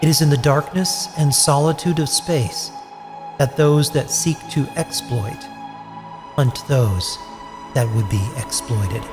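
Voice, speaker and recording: Guy doing solemn dramatic narration, mid-pitched voice, music clearly playing behind. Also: slow.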